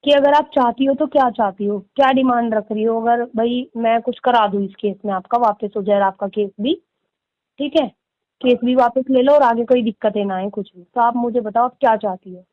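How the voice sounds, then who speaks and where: A woman's voice: fairly high pitch, normal speed, no echo. Deferential woman on a tinny phone line. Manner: deferential, says "sir" often, constant murmured agreement. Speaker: lawyer acting as go-between. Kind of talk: phone conversation.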